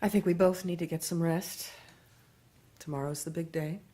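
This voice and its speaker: An actress playing a stern, weary, bitter commander's wife in an audio drama, with a clipped and dismissive manner. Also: female voice, fairly low pitch, fairly even intonation, moderate pace, mellow, natural voice. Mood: cold, curt.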